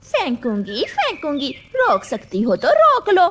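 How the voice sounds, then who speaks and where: A woman's voice: high voice, wildly swooping pitch, measured pace, a bit bright, exaggerated voice. A woman voicing a quarrelsome, sly female crow in a children's cartoon, defiant and given to whining. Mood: brazen defiance. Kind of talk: children's cartoon story dub.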